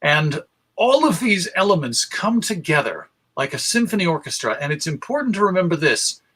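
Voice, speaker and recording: Male presenter, storytelling, emphatic, conversational, slightly high pitch, bright forceful voice. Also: sing-song, normal speed, breathy edge. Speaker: presenter, radio show host. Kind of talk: illustrated history talk to an audience.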